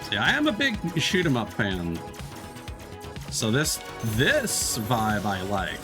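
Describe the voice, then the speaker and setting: A man's voice: on the low side, wildly swooping pitch, slow. A man streaming a video game with casual live commentary, chatty and polite as he thinks aloud. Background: video game music.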